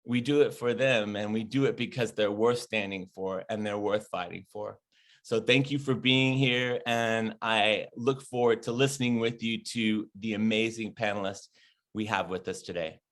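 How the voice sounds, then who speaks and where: A man's voice: lowish voice, steady tone, normal speed, fairly bright tone. A man giving sincere, committed remarks at an online event. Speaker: speaker giving opening remarks.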